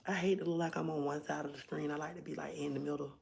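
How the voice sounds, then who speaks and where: A hoarse woman hosting a casual livestream from home, chatting directly to her viewers. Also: sits low, fairly even intonation, fast, a little mellow. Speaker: livestream host.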